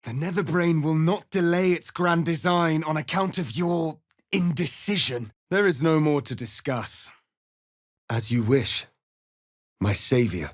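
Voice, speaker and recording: A man playing a proud, solemn alien warrior prince in a fantasy video game, speaking in a formal, commanding, deliberate way. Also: fairly high pitch, no echo, studio quality. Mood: grave, weary. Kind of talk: dramatic fantasy game acting.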